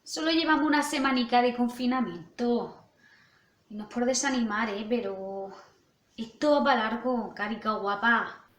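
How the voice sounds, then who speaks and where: A woman's voice: a little high, moderate pace, natural voice. A woman playing a blunt, swearing nurse with a Murcian accent in a comedy acted over a video call. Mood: teasing. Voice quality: brash, earthy, swears freely. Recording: echoey room with music underneath.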